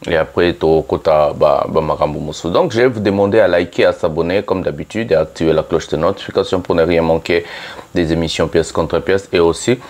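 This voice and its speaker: A man hosting a casual music commentary show, talking with a cold, full of fillers and throat clearing. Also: deep voice, a little fast, darkish tone. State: has a cold. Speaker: YouTube music commentary host. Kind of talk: casual monologue to subscribers.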